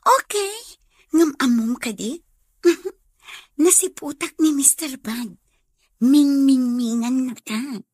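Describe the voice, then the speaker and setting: High-voiced lovestruck young Ilocano woman. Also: slow, long pauses, a little mellow, husky, dry recording, clean studio sound, exaggerated voice. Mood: giddy, teasing. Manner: dramatic, sighing and giggly. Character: lovestruck, chatty young working woman with a crush. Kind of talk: Ilocano radio comedy-drama acting.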